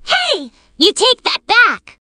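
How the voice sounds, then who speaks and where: A woman voicing a bratty, hot-tempered cartoon hedgehog girl with a high-pitched voice. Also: sing-song, measured pace, sharp tone, dry recording, clean studio sound, heavily acted. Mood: furious. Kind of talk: cartoon character dialogue.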